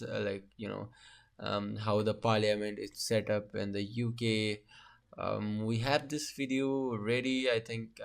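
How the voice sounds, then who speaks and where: Low gravelly man, halting reaction chat. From Asia. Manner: halting, with many fillers and restarts. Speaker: reaction video host. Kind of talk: casual reaction commentary.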